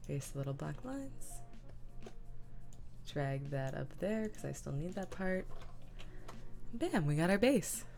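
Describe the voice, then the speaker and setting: A woman hosting a livestream, casually talking through her computer work and half muttering to herself, with soft music behind her. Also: deep voice, big pitch swings, a little slow, creaky, long pauses. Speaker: livestream host. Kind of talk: casual livestream chat narrating image editing. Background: lo-fi music, computer keyboard typing.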